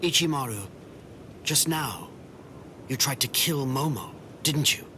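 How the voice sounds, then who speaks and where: An actor playing a stern, cool-headed young captain in an anime game, terse and commanding. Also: male voice, medium pitch, animated, measured pace, noisy background, lightly acted. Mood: cold threat. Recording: music behind the voice.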